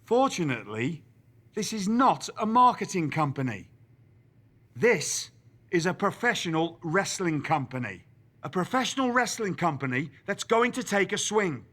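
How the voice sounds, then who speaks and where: A shrewd, dramatic boss in a wrestling game. His high voice moves in big swings, with formal, wry, showmanlike phrasing. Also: very animated, moderate pace, sharp tone, heavily acted. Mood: grand, decisive. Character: shrewd, dramatic male wrestling general manager. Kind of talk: theatrical video game cutscene acting.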